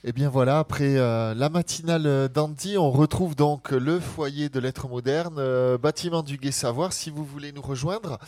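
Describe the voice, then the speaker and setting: Halting male radio host, dry studio sound. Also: mid-range voice, darkish tone, no echo. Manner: hesitant, many filler pauses. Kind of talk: casual live radio chat.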